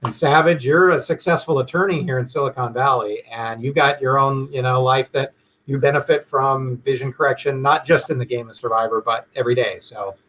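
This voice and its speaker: A middle-aged man from Hawaii talks as an eye surgeon guest on afternoon radio. His chat is friendly and easygoing and slides into promotional talk. His pitch sits in a middle range. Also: a little fast, a bit bright, low-quality recording.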